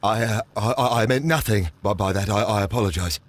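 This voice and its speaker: An actor playing a nervous, haunted councillor in a video game, stammering heavily in a hesitant, apologetic way. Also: male voice, fairly low pitch, brisk, lightly acted. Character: nervous, haunted, guilt-ridden male councillor and archaeology enthusiast. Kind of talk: scripted video game acting.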